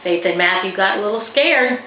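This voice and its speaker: Lively woman reading a children's story. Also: medium pitch, fairly quick. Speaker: author reading her own children's book. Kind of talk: expressive children's story narration. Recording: roomy, slightly dull, faintly noisy recording.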